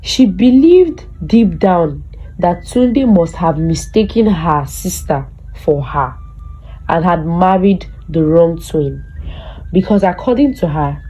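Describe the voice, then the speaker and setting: A woman storyteller narrates a dramatic folktale at a slow, deliberate pace. Her voice is mellow and slightly low, and she lays out each scripted phrase with measured care. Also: husky, poor audio.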